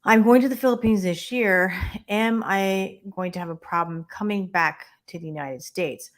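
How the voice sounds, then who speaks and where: A woman co-hosting a live immigration Q&A stream, chatting and giving quick practical tips. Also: medium pitch. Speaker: immigration lawyer co-host.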